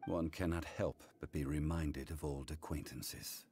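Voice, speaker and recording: An actor playing a calm, solemn ancient man, a wise former god in a video game, who speaks in a formal, measured, reflective way. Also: very deep voice, slight background noise. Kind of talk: English game voice acting, story dialogue.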